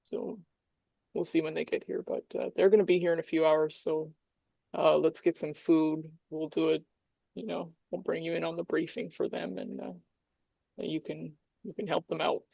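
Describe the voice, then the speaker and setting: A woman's voice: low-pitched, level tone, normal speed, gravelly, warm tone, breathy edge, no echo, exaggerated voice, low-quality recording. A woman playing a plain-spoken, worried local lawman briefing a colleague. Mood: matter-of-fact.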